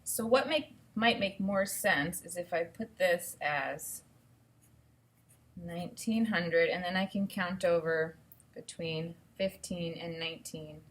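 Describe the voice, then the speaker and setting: A woman teacher giving an instructional read-aloud, explaining and thinking out loud as she goes. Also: fairly low pitch, takes it slowly.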